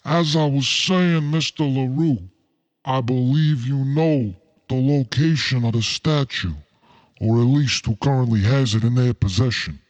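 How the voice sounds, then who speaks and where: A suave crime boss from a noir radio play, acted by a man. He speaks in a formal, genteel way at a middling pitch, with a faint menace under the courtesy. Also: measured pace, close to an everyday voice. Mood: bargaining. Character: suave scheming crime boss Fat Cat, noir villain.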